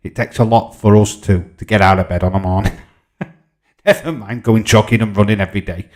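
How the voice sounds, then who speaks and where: A man's voice: fairly low pitch, a little fast. A man in his forties with a Yorkshire accent hosting a casual livestream, reading out comments and bantering informally as he replies. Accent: Yorkshire English. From Yorkshire, England. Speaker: live stream host.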